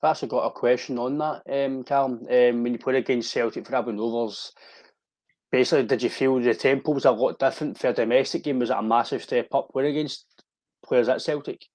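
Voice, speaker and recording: A male podcast host asks his guest questions in a quick, eager way. His voice sits at an ordinary middle pitch. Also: fairly even intonation, a little mellow. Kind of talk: interview questions.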